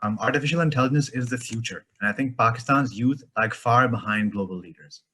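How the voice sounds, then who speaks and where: A man from Canada, a master's student, forcefully delivering a prepared statement. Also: medium pitch, a little fast.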